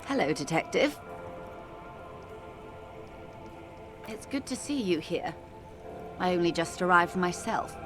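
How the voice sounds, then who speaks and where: Actress playing cultured moneyed woman, slightly low pitch, wry, condescending, composed game character, music behind. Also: animated, normal speed, natural voice. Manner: arch, refined, dryly ironic. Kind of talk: video game dialogue acting.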